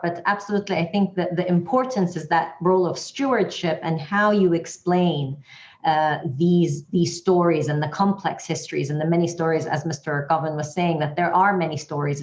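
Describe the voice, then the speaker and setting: Low-voiced woman moderating in a roomy space. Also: darkish tone. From Iraq. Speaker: panel moderator. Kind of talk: moderating a roundtable discussion. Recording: roomy, dull lo-fi conference audio.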